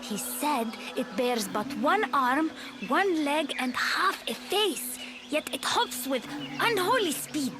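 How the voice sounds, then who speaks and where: Game NPC: a young woman telling an eerie tale, slow and high. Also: animated. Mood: grave. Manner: slow, solemn storytelling. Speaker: game character. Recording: game audio with loud music.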